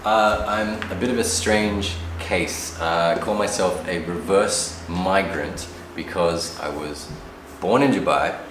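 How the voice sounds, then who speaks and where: Deep-voiced man telling his story wryly. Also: slow. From Australia. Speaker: musician interviewee. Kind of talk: casual storytelling to camera. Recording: roomy echo with background noise.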